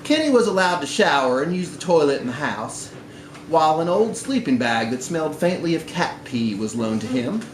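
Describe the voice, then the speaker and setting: A man with a slightly high voice reads a short story to a live event in an expressive narrating style. The sound is very roomy, with some background noise from the bar. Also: normal speed. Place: indoor bar reading event.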